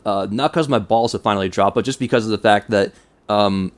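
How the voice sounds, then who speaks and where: A man's voice: on the low side, brisk. A man of twenty-two with a mild cold and stuffed-up nose, talking casually and self-consciously in a hesitant, rambling vlog. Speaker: YouTuber.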